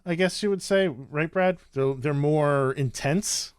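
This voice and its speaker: A man co-hosting a casual panel podcast, talking in a measured way with dry quips. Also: on the high side, clear, resonant tone, no echo. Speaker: podcast co-host.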